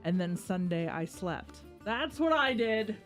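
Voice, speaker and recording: A woman's voice: mid-range voice, very animated, a little slow, faint music behind. A woman in casual podcast conversation, telling small stories in a wry, teasing way.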